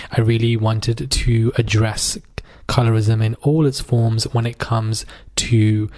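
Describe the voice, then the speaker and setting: A man's voice: on the low side, a little mellow. A man hosting a podcast, talking in an informal, emphatic and conversational way about social issues. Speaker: podcast host.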